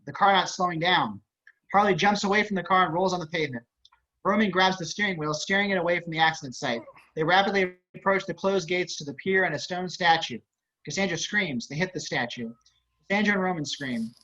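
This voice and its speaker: A man's voice: high voice, sharp tone. A man reading scripted action narration and stage directions over a video call. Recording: dull video-call audio.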